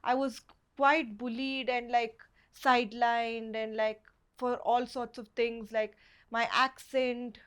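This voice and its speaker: A woman's voice: on the high side, flat delivery, takes it slowly, smooth. Chatty young woman with Kannada accent. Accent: South Indian Kannada. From Karnataka, India. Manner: chatty, many "like" and "you know" fillers. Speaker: guest photographer. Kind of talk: casual podcast interview.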